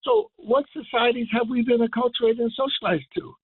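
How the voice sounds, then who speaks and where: An older man giving an impassioned radio lecture as a guest, speaking emphatically and building to forceful declarations. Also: very high voice, fairly quick, husky, dry recording. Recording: tinny phone line.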